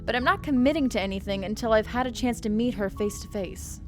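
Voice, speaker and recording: A woman's voice: a little high, quick pace, a little mellow, soft background music. A young adult woman streaming a game with casual commentary, rambling and joking with stammering restarts.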